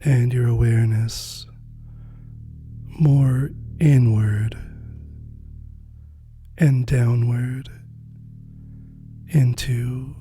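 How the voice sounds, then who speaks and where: A meditation guide with a dark, mellow voice leads a spiritual practice very slowly. The delivery is soft and breathy, often sinking toward a whisper, with long gentle pauses. Faint music plays along. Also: mid-range voice, fairly even intonation.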